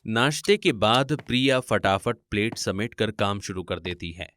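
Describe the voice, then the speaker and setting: A man's voice: on the low side, moderate pace, fairly bright tone, slight background noise. A man narrating a scripted family story in a theatrical, rhetorical style.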